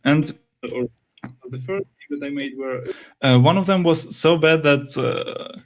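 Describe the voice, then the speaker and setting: A man's voice: fairly high pitch, measured pace. Young Russian-speaking man, hesitant. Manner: hesitant, many fillers and restarts, occasional laughs. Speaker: indie game developer, interview guest. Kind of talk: casual interview answers.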